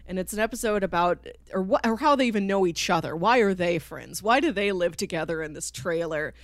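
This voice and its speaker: A woman's voice: lowish voice, lively intonation, brisk, no room sound. A woman hosting a podcast, talking casually and explaining with enthusiasm about a series she enjoys. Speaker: podcast host.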